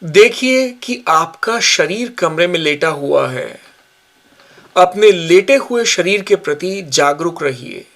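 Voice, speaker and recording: Slow-paced man guiding meditation, higher voice. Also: lively intonation, fairly bright tone. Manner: measured, instructional, pausing between short phrases. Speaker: yoga nidra instructor. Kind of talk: guided meditation, spoken instructions.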